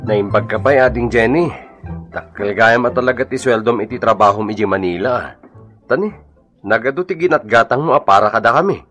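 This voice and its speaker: A man's voice: medium pitch, brisk, fairly bright tone, soft background music, close to an everyday voice. A man playing a blunt, scolding husband who lectures reproachfully in an Ilocano radio drama. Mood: appreciative. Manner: preachy, reproachful.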